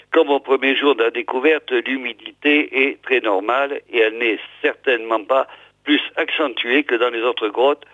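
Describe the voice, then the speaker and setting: A hoarse man hosting a regional radio show, hesitantly introducing and reading out old press articles with many fillers and restarts. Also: mid-range voice, no room sound. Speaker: radio host. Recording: tinny phone-like radio sound, dry.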